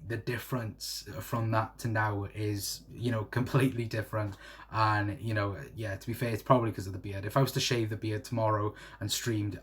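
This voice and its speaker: A man in his twenties from South Wales with a Welsh accent, chatting casually on a livestream and reading out viewer comments, rambling with lots of fillers. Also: lowish voice. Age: 20s. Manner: rambling, many fillers and "to be fair". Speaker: livestream host.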